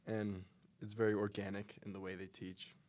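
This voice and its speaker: A young man, a college student, answering interview questions in an earnest, steady way with some fillers. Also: fairly low pitch, normal speed. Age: young adult.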